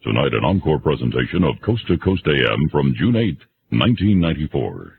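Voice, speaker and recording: A man's voice: rumbling low, very animated, fairly quick, vocal fry, breathy edge. A man reading a scripted program announcement as a radio network announcer, on a narrow, noisy broadcast.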